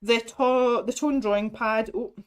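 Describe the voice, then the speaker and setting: A woman's voice: on the high side, fairly even intonation, unhurried, smooth. A woman in her thirties, chatting casually on a livestream, rambling and laughing as she reads out product blurbs. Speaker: art supplies streamer. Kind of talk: casual unboxing livestream chat.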